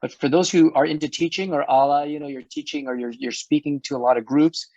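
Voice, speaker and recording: A middle-aged man hosting an online health talk, chatty and hoarse, often restarting his words and laughing. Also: on the high side, steady tone, a little fast, a little mellow, no room sound. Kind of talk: casual panel chat. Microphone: video call. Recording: dull dry video-call audio.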